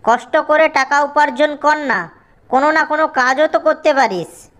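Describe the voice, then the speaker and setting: A woman's voice: high-pitched, moderate pace, fairly bright tone, voice pushed up. A woman voicing a grumpy, possessive jackal in an animal cartoon, scolding and bossy.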